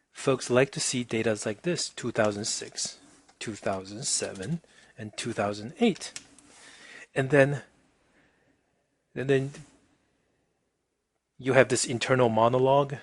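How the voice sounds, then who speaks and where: A man teaching a casual software demonstration, informal and chatty, often checking in with the listener, with a husky voice. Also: medium pitch, no echo. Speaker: instructor.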